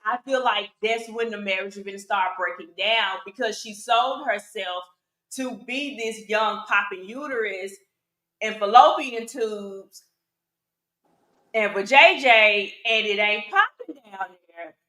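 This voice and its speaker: A woman co-hosting a reality TV opinion panel in a casual chat, animated and opinionated, with lots of exclamations. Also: mid-range voice, measured pace. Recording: echoey room.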